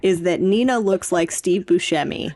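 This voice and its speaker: A woman's voice: lowish voice, normal speed, a little mellow. A young woman chatting as a podcast co-host, informal and playfully emphatic in the way she talks. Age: young adult. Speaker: podcast co-host and PhD student. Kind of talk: casual podcast chat.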